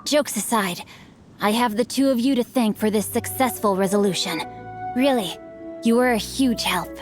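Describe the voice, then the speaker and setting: A refined teenage noble boy voiced by a woman speaks in a fairly high voice with ornate, courtly phrasing. He is bookish and slyly teasing, a game character heard over music. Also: normal speed, natural voice. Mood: playfully gracious. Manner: ornate, courtly, archaic wording. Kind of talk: English video game voice acting.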